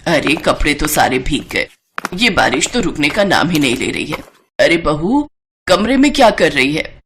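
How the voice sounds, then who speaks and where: Elderly Indian mother-in-law, played by a woman: lowered pitch, nagging yet coaxing, stubborn, family moral-story drama. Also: sing-song, normal speed, a bit bright, a little hiss or hum, exaggerated voice. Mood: complaining. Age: older. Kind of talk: acted moral-story dialogue.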